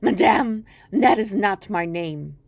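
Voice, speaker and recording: A woman's voice: medium pitch, lively intonation, slow pace, creaky, a little mellow, no room sound. A woman voicing a droll little male hobgoblin, a sly bargainer with an emphatic, singsong manner, in a storybook reading. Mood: smug.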